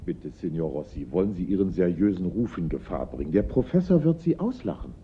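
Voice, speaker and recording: A skeptical, deferential gallery assistant in a radio drama. His voice is fairly low, and he sounds incredulous and mocking, yet polite. The recording is dull, with a faint rumbling noise. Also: big pitch swings, a little fast. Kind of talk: German radio play acting.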